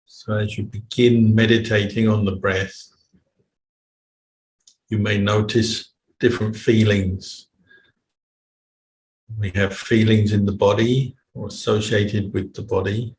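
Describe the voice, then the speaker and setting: A man with a fairly low, flat-pitched voice leads a guided meditation. He speaks in a measured way with long gaps between phrases. The sound is echoey, as in a room, with faint noise behind him. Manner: measured, unhurried, with long pauses. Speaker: meditation teacher, Buddhist monk. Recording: roomy echo, faint background noise.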